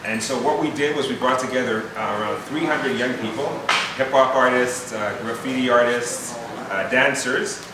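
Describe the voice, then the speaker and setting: Man from haiti, community organizer addressing young crowd, earnest, restarts a lot, boomy room, mic far off, noisy. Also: normal speed, heavy reverb. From Haiti, raised in Montreal. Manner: earnest, restarts phrases often, polls the crowd. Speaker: foundation founder speaking to youth. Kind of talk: informal speech to a live audience. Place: event room with an audience.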